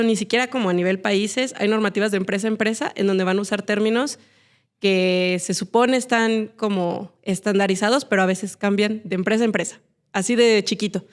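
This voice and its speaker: A woman from Aguascalientes with a Mexican accent, a guest on a podcast. She talks thoughtfully and uses many fillers. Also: mid-range voice. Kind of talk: casual chat.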